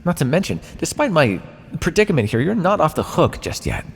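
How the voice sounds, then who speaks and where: Man playing a smug, echoing, creaky-voiced vampire captor. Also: fairly high pitch, big pitch swings, a little hiss or hum. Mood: conflicted, wry. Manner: smug, teasing, dramatic with sighs and drawn-out words. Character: condescending, conflicted male vampire captor and scientist. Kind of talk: ASMR roleplay, theatrical monologue to the listener.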